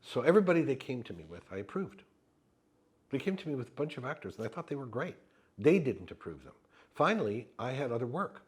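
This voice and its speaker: A man, a Yiddish-speaking actor, tells an animated story in an oral history interview and acts out the dialogue he recounts. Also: mid-range voice, brisk, husky.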